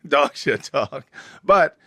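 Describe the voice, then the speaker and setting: A man hosting a comedy podcast, a bit hoarse from a cold, joking around in casual chat. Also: expressive, fairly bright tone, no echo. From Los Angeles. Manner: joking, deliberately low and slow. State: hoarse, recovering lost voice. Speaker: podcast host.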